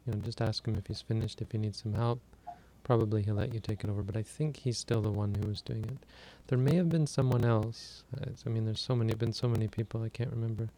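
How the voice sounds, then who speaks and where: Man, meditation teacher, low voice, dark and soft tone, unhurried conversational talk. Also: fairly even intonation, a little hiss or hum, low-quality recording. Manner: plainspoken, unhurried, loosely conversational. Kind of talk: relaxed dharma talk and Q&A livestream.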